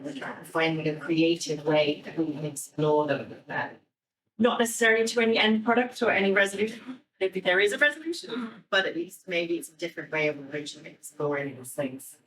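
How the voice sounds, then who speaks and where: A woman's voice: on the low side, a bit bright. A woman, a theatre director, giving an informal talk to an audience in a hesitant, conversational way. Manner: conversational, hesitant, thinking aloud. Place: echoey room, speaking to an audience. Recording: distant microphone in an echoey room.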